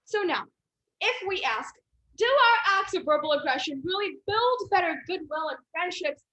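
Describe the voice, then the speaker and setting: Teen girl doing a contest speech, really high voice, bright and forceful, earnest. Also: big pitch swings, normal speed, dull audio. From United States. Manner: earnest, emphatic, builds to a dramatic close. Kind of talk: memorized persuasive contest speech.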